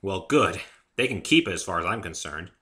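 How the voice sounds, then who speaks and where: A man's voice: low-pitched, lively intonation, brisk, sharp tone. A man playing a wary, exasperated young hero in an amateur story reading, with a dry, questioning manner. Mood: dry relief.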